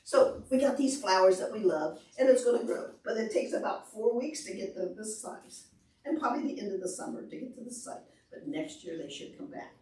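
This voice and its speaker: A woman's voice: medium pitch, fairly quick. Echoey, distant woman teaching small children. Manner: animated, demonstrative, simple explanations with questions. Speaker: visiting presenter at a children's library program. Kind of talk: interactive talk to preschoolers and parents. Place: large indoor library meeting room. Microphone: far camera. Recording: echoey room, distant camera microphone.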